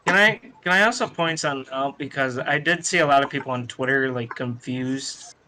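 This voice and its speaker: A man's voice: mid-range voice, fairly quick, pushed and bright, slight background noise, poor audio. A man from the United States co-hosting a wrestling podcast, chatting in a brash, sweary, argumentative way. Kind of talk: casual heated panel chat.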